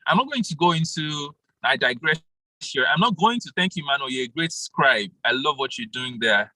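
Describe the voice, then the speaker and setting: A man leads an online speaking workshop over a video call, lively and quick to laugh as he calls on people by name. His voice sits a little high and rings bright and forceful. The sound is dry and close. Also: no echo, low-quality recording. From Nigeria. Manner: animated, laughs often, calls on participants by name. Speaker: public speaking coach. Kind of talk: interactive online workshop talk.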